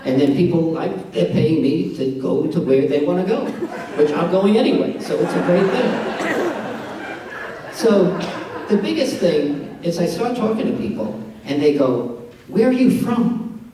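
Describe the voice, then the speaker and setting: A man's voice: a little high, lively intonation, normal speed, mellow, a little hiss or hum. A man in his sixties with a New York accent, doing a self-mocking stand-up set with storytelling punchlines at a benefit event. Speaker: amateur stand-up comedian. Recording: echoey room, distant mic, audience. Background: audience laughter.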